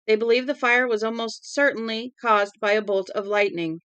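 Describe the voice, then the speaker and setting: A woman's voice: on the high side, fairly even intonation, normal speed, fairly bright tone, clear, resonant tone, no echo, lightly acted. A woman reading aloud as a male radio newscaster, delivering a disaster bulletin in a formal, urgent manner.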